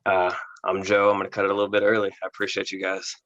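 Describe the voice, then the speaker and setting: Stand-up comic, male, from Florida, low smooth voice, dry dull call audio. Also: racing, no room sound. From Florida, USA. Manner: calm, smooth, self-deprecating delivery. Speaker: stand-up comedy contestant. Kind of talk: stand-up set, then taking feedback.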